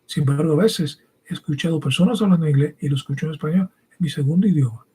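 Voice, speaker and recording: A man's voice: fairly high pitch, fast, dark tone, breathy edge. A man raised bilingual in English and Spanish, preaching a didactic, emphatic teaching sermon and often checking in with short rhetorical questions. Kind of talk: teaching sermon with scripture reading.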